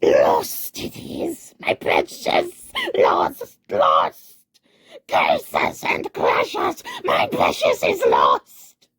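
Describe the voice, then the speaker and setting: A woman reading a storybook and voicing a slimy, wretched male cave creature who hisses and whispers, lurching between squeaky highs and low growls. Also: huge pitch swings, slow, darkish tone, full character voice. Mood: frantic. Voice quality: hissing, raspy, whispery.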